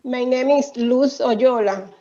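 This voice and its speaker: A woman's voice: high voice, slow, dark tone. A middle-aged woman from Puerto Rico, a Spanish speaker with a Puerto Rican accent, reading a prepared statement aloud on a video call. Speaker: ESL student. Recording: dull lo-fi video call audio.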